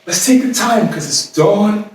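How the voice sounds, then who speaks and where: A man performing a staged dramatic monologue as a weary, lovesick, despairing man, speaking in halting, broken phrases with long pauses. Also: high voice. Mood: hushed wonder. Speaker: stage actor. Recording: roomy echoing stage, distant mic, faint noise.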